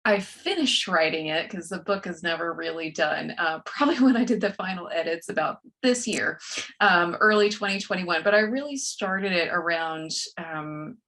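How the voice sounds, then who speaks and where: A woman's voice: on the low side, moderate pace, fairly bright tone. A woman in her forties with a Texan accent, a guest author chatting in a friendly, matter-of-fact way. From West Texas, USA. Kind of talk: casual interview chat.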